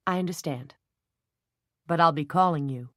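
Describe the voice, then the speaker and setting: A woman voicing a loyal, fiery young woman who speaks earnestly and turns sharp and indignant. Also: sits low, normal speed, no room sound, clean studio sound. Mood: tender.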